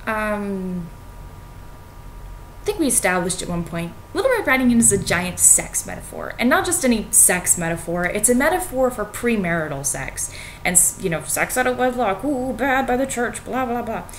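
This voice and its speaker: Young American woman, noisy webcam sound. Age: young adult. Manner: informal, wry, hedging with "like" and "you know". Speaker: vlogger reading and analysing a fairy tale. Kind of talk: casual spoken commentary to camera. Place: small home kitchen, indoors. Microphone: computer webcam at arm's length. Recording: noisy home webcam recording, low rumble.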